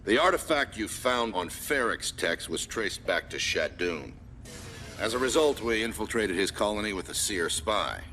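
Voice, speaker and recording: A man playing an authoritative military commander who gives mission briefings in a firm, crisp, commanding manner. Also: on the low side, expressive, normal speed, bright, forceful tone, slight background noise. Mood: stern, informative. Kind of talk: scripted game mission briefing.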